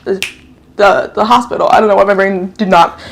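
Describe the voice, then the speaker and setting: A woman's voice: mid-range voice, fairly even intonation, fairly quick. A woman from New York whose first language is Japanese, chatting casually through a drama review, rambling, correcting herself and swearing now and then.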